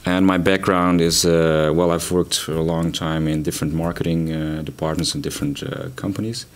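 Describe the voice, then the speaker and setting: A man from Portugal, raised in the Netherlands, a lecturer speaking in a relaxed way with many fillers. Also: low-pitched, normal speed.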